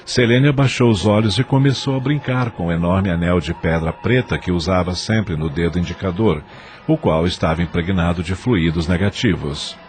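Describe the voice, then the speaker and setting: A man's voice: low-pitched, normal speed. A man reading scripted narration for a dramatized audio novel.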